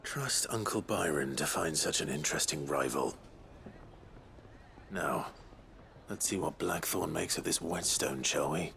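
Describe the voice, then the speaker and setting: An actor playing a calm, considerate adventurer hero in a video game, with an understated, measured delivery. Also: male voice, very deep voice, gravelly, slight background noise, heavily acted. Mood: quietly amused. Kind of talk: video game cutscene acting.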